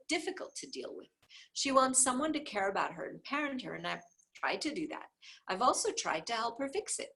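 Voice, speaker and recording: Expressive, slightly husky woman reading aloud. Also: medium pitch, rough recording. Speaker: reader of a book aloud. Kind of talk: book read aloud, informal.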